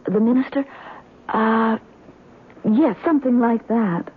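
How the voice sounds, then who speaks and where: An actress playing an inquisitive, concerned wife in a 1970s radio drama, with an earnest, questioning manner. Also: female voice, on the high side, slow, slight background noise. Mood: recalling, curious. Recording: muffled 1970s radio broadcast.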